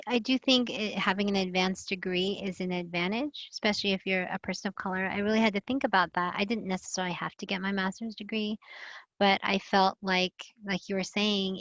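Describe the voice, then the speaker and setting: A woman's voice: medium pitch, fairly bright tone, dry recording. Woman panel guest, earnest and reflective, dry lo-fi call audio. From Philippines. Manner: earnest, reflective, many fillers. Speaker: guest, health and safety expert. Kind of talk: live online panel talk. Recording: dull lo-fi video call audio.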